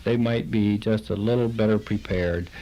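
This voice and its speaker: Guy explaining stuff in a radio interview, lowish voice, faint tape noise behind. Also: fairly even intonation, moderate pace, mellow, dull audio. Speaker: Red Cross chapter representative, interviewee.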